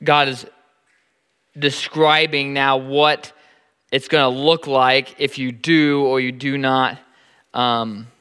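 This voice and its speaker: A man in his thirties with a Nebraskan accent, preaching a sermon in a halting, informal way that repeats words often. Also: medium pitch, little pitch movement, pushed and bright, clear, resonant tone, long reverb tail. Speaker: pastor.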